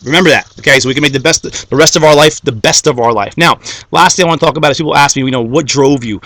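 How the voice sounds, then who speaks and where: Young male motivational speaker, Greek first language, quick, bright, keeps asking "okay?", dry close sound. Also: fairly high pitch, dry recording. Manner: repeats "okay?" and "guys", builds to rising fired-up climax. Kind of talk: motivational talk to followers.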